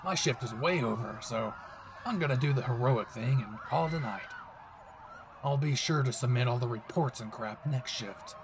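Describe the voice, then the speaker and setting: A man's voice: medium pitch, moderate pace, noisy background, lightly acted. A man playing a brash, possessive young hero, cocky, swearing and intense, in a dramatic audio roleplay spoken to the listener. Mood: dismissive, smug. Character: brash, possessive young male hero, gruff and foul-mouthed.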